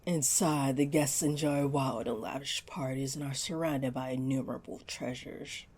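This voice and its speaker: A woman's voice: a bass voice, steady tone, a little mellow, husky, heavily acted. A woman playing a flirty, scheming mermaid in a roleplay audio drama, teasing and coaxing her listener. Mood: tempting. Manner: teasing, coaxing, slow and deliberate. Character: flirty, scheming female mermaid, sweetly tempting a pirate.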